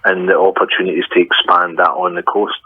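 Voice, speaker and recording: A man's voice: deep voice, completely flat delivery, fairly quick, husky, no room sound. An Australian man giving a statement as mayor over a narrow phone line. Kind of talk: interview statement.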